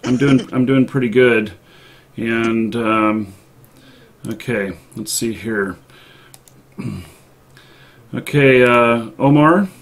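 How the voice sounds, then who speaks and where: A man hosting a live show, chatting off the cuff with callers in a polite, halting and hesitant way. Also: medium pitch. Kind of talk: unscripted call-in show chat.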